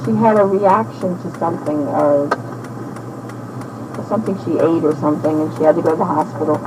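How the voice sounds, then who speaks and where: A woman in her sixties talking slowly and haltingly into a personal audio diary, with many pauses and fillers, heard from an old cassette tape. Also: low-pitched, steady tone, background noise. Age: 60s. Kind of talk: rambling spoken diary.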